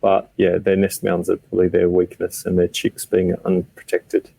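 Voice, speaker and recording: A man explaining as an expert guest on a panel, conversational, with a somewhat halting delivery. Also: deep voice, monotone, vocal fry, dark tone, slightly rough, no room sound, rough recording.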